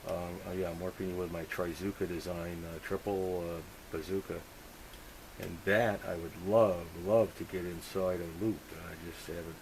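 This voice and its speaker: A man talking shop as a radio hobbyist, halting and rambling as he thinks out loud. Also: low-pitched, flat delivery. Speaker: amateur radio operator. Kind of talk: casual technical radio chat. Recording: hissy, noisy radio contact recording.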